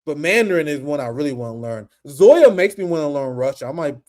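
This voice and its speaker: Profane, animated man, higher voice. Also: a little fast. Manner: animated, profane, laughs often. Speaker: political commentary host. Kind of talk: casual livestream commentary.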